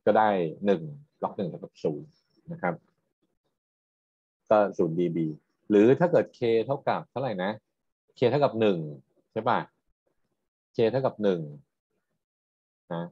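A man's voice: on the low side, lively intonation, a little slow, long pauses, breathy edge. A man lecturing on technical material, explaining step by step and often checking with questions. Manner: explanatory, checks understanding with frequent tag questions. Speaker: university lecturer. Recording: dull, roomy lecture recording.

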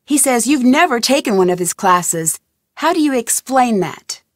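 A woman plays a young female sleuth in an adventure game, persistent and curious. Her voice sits a little high and moves with very lively intonation. She speaks earnestly and questioningly, recorded dry with no room around her. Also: normal speed, no room sound, close to an everyday voice. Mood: probing, suspicious. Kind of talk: scripted adventure game voice lines.

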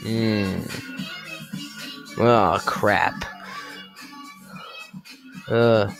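A man's voice: lowish voice, fairly even intonation, drawn-out words, vocal fry, over music. A teenage boy YouTuber chatting casually to camera on a headset mic, often stalling and muttering as he thinks. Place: bedroom. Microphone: gaming headset.

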